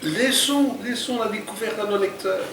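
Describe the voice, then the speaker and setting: A man's voice: sits high, normal speed, background noise. A man hosting an interview, prompting his guest in a friendly way. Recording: roomy echo, distant mic.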